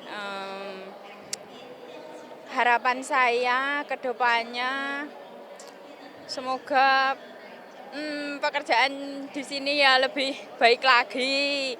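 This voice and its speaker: A woman who works as a domestic worker, answering a street interview slowly and hesitantly, with pauses. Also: high-pitched, fairly even intonation, sharp tone.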